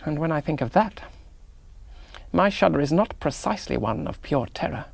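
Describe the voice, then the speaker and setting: An actor playing a rational, jaunty young English army gentleman in a radio drama, musing aloud in a clipped, wry English accent. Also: a little high, animated, moderate pace, gravelly, no room sound. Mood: entranced, fearful. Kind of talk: 1970s radio drama monologue.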